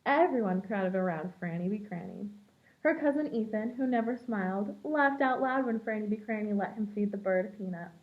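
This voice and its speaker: A woman reading a children's picture book aloud in an expressive storytelling manner, as a preschool teacher does for young children. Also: mid-range voice, a little fast. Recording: dull lo-fi sound.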